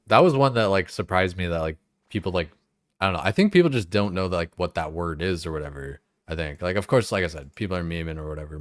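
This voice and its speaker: A man's voice: low-pitched, dry recording, studio quality. A young man streaming a game, chatting casually in a rambling way, full of fillers and swearing. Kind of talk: casual chat while playing.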